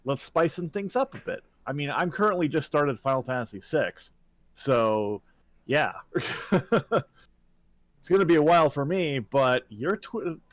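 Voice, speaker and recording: Male streamer co-host, animated delivery, casual banter, very dry recording. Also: on the high side, measured pace, no echo.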